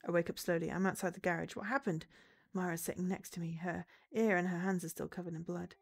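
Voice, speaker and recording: A woman's voice: lowish voice, steady tone, fast, gravelly, breathy edge. A woman streamer reading a visual novel aloud as dramatic narration. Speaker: game streamer. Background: game music.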